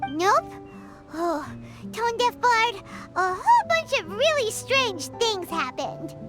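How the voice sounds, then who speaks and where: A woman voicing a tiny, excitable, childlike fairy companion in a video game, chirpy and bossy. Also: slow, loud music behind the voice, exaggerated voice. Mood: grumpy, suspicious. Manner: squeaky, chirpy, exclamatory.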